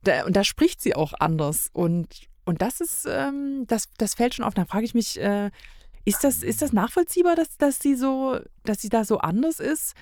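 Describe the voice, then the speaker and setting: A woman's voice: on the high side, expressive, normal speed, dark tone, no echo, studio quality. A woman in a casual review conversation, thinking aloud and often searching for words. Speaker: podcast guest.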